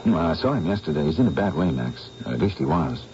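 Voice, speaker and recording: An actor with an English accent voicing a serious, earnest painter who speaks firmly and formally, in a 1970s radio drama. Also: male voice, a bass voice, big pitch swings, fast talker, a little mellow. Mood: troubled. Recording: dull old radio broadcast with faint hiss.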